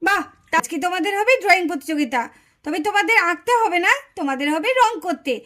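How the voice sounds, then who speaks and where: Woman voicing a very high-pitched, chatty auntie doll. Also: animated, fast, pushed and bright, exaggerated voice. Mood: bright, encouraging. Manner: exclaiming, instructing, chatty. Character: cheerful auntie doll hosting a children's drawing contest, bossy but kind. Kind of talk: puppet doll story for children.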